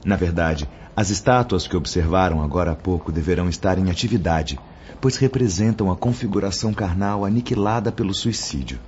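An actor playing a calm, authoritative spirit mentor in a radio drama, speaking in a formal, didactic way. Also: male voice, sits low, fairly quick, a little hiss or hum, natural voice. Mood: instructive, grave. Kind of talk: radio drama acting, formal instructive speech. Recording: dull lo-fi audio. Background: background music.

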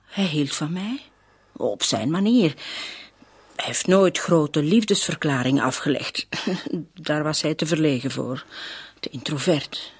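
Distraught widow, radio play. Slow halting speech, heavily breathy and whispery, full of disbelief. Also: female voice, deep voice, full character voice. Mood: pained, earnest. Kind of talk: radio drama acting, emotional dialogue.

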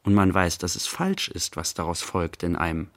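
An actor playing a pensive, serene man in a radio play, speaking a reflective literary monologue in halting fragments. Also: low voice, sing-song, fairly bright tone, full character voice. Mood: musing, serene. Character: pensive man musing inwardly, mildly serene. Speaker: actor, narrator.

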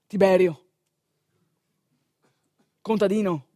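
A woman actress telling a story as a theatrical monologue, expressive, colloquial and confiding, with a northeastern Italian accent. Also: medium pitch, slow pace, no room sound, studio quality.

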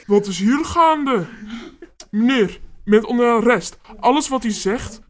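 A woman's voice: on the high side, expressive, a little slow. A woman voicing a stern police officer in a radio play. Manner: stern, formal. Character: police officer making an arrest.

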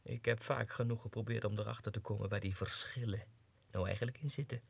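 An actor playing a deluded, self-important low-ranking Russian clerk going mad, in a theatrical radio drama monologue that swings between muttering and agitated outbursts. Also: male voice, steady tone, brisk, creaky, breathy edge, no room sound. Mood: scornful, reasoning. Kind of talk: 1960s radio drama monologue, diary entries. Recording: dry 1960s radio studio recording.

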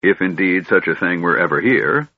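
A man's voice: low-pitched, animated, normal speed, no room sound. A man voicing a stern, suspicious noble knight who speaks in a clipped, guarded way in an audiobook. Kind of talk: audiobook character voice. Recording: dull, slightly lo-fi recording.

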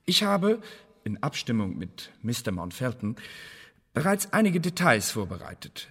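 A man's voice: medium pitch, big pitch swings, measured pace, a bit bright, slightly rough, exaggerated voice. A man acting in a radio play as a meticulous intelligence official who briefs an agent in precise, formal language. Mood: officious, precise. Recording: long hall-like reverb.

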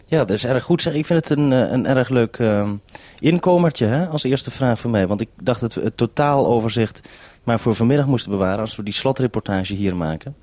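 A man's voice: medium pitch, fairly quick, darkish tone, dry recording. A man, a radio reporter talking informally and a little hesitantly as he coordinates over a link. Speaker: radio reporter and programme maker.

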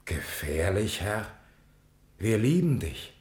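An actor in a 1950s German radio drama playing a humble, shaken soldier who speaks gravely and deferentially, recorded with room echo. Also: male voice, lowish voice, big pitch swings, slow, darkish tone. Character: humble, shaken soldier reporting to his lord. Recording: roomy vintage radio studio recording.

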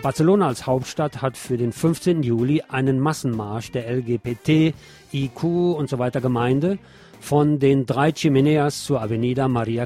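A man presenting scripted news as a radio host, adding sardonic, opinionated commentary of his own. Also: mid-range voice. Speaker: radio show host reading local news. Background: music with guitar.

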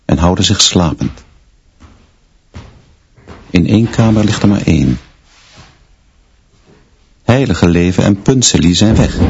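A man's voice: low voice, darkish tone, husky, lo-fi sound, exaggerated voice. A man playing a stern, brooding schoolteacher who narrates his own story in terse, literary sentences for a radio play. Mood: watchful.

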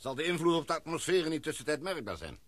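A man playing a calm older English gentleman. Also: on the high side, fast talker, no echo. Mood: questioning. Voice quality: calm, commanding, courteous. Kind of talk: radio play acting.

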